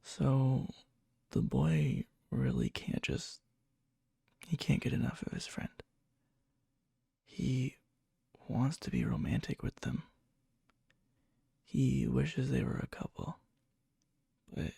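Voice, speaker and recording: A needy, obsessive young man in love , acted by a man for an ASMR roleplay, murmuring very huskily with heavy fry. He is coy and hesitant, unhurried, as if confiding a secret crush. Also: medium pitch, no room sound, studio quality, exaggerated voice. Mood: wistful. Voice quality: breathy, soft, fry-edged. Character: needy, lovesick, obsessive young male friend confessing indirectly. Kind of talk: whispery ASMR roleplay, intimate storytelling.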